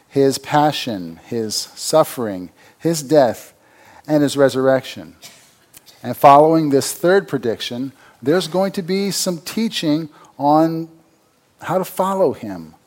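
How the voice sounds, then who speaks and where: A pastor giving church announcements and then a conversational sermon, asking the congregation rhetorical questions. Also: male voice, fairly high pitch, expressive, takes it slowly, darkish tone.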